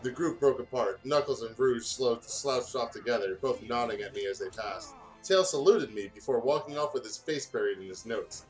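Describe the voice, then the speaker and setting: A man's voice: medium pitch, low-quality recording. A man who hosts let's plays, reading fan fiction aloud and chatting casually. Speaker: let's play host.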